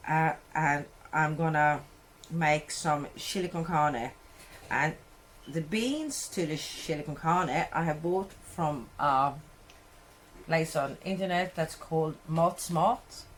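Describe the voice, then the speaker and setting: A Swedish woman speaking English with a Swedish accent, talking slowly and unhurriedly over her kitchen work. Her voice sits a little low, and she wanders and corrects herself as she chats. There is a faint hum of the room behind her. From Sweden. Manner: rambling, self-correcting, chatty. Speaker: cooking vlogger. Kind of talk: casual cooking chat. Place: home kitchen, indoors.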